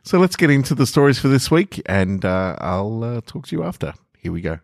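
An Australian man hosting a short-story podcast and reading fiction in an unhurried, informal way. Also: mid-range voice, big pitch swings, vocal fry, dry recording, clean studio sound. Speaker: podcast host and story reader. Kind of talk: casual podcast talk and narrated short fiction.

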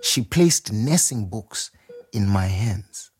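An actor playing a proud, warm restaurant owner reminiscing in a theatrical storytelling monologue. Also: male voice, lowish voice, takes it slowly, slightly rough, full character voice. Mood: amused, nostalgic. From Zimbabwe. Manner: animated, chuckling, theatrical storyteller. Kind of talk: dramatised monologue, storytelling to a customer.

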